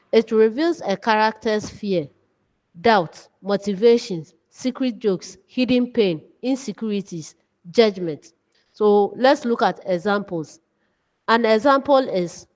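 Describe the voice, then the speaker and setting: A woman giving an instructive lesson, speaking deliberately in short phrases. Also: mid-range voice. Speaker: writing teacher. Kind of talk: lesson narration with read-out examples. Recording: long reverberant echo.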